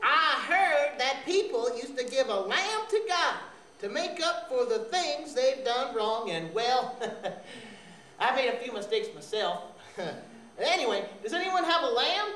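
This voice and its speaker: A woman voicing a silly, excitable cowboy puppet with an exaggerated Western twang in a children's puppet show. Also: sits high, distant mic, full character voice. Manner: whooping, folksy, exclamatory. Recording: echoey hall, off-mic, background noise.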